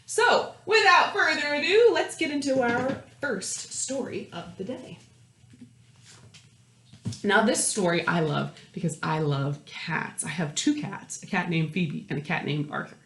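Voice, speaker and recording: A woman reading a picture book aloud to young children, expressive, with questions and asides to her listeners. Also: medium pitch, unhurried, a little hiss or hum. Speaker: children's storytime host and story reader. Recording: roomy, echoey indoor sound.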